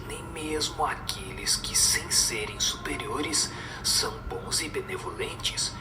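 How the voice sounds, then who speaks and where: Whispering, echoing solemn spirit teacher acted by a man. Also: lowish voice. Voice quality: breathy, whispered. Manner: measured, didactic. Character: solemn male spirit teacher giving moral answers. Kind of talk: scripted radio drama recitation. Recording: echoing voice over music.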